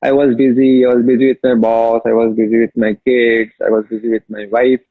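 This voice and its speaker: Man, Marathi-accented Indian English, husky voice, emphatic storyteller, dry dull lo-fi sound. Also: medium pitch, level tone, fairly quick, no room sound. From Maharashtra, India. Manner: emphatic, storytelling, repeats key phrases. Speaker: interview guest, app founder. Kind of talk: casual interview talk.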